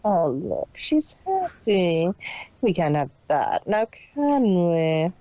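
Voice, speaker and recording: A woman's voice: on the low side, big pitch swings, slow, dark tone, highs cut off. A woman voicing a callous, cruel female pony business boss in a detached manner for a fan fiction reading. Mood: smug, scheming. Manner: detached, unemotional.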